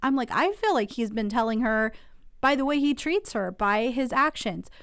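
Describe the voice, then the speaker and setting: A woman's voice: on the high side, lively intonation, normal speed, fairly bright tone, no echo, clean studio sound. A woman hosting a casual TV recap channel who is getting over a cold, talking in a chatty, opinionated way and asking viewers to weigh in.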